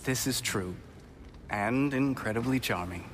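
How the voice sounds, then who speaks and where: A man acting as a veteran warrior in a fantasy game, telling tales fondly. He speaks slowly and wistfully at a medium pitch, with clear ambient noise behind him. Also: a bit bright, close to an everyday voice. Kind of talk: video game dialogue acting.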